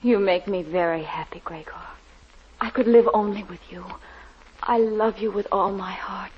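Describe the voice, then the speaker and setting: A woman's voice: medium pitch, normal speed, music in the background, lightly acted. An actress playing a trusting young blind peasant girl in an old radio drama, earnest and dreamy. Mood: adoring. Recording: dull vintage radio recording.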